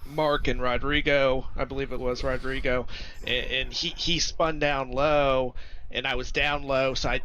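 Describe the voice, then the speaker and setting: A man's voice: medium pitch, pushed and bright, muffled recording, a little hiss or hum. A man being interviewed after a race, talking with frequent restarts and easy laughter. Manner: hesitant with repeated restarts, laughs easily.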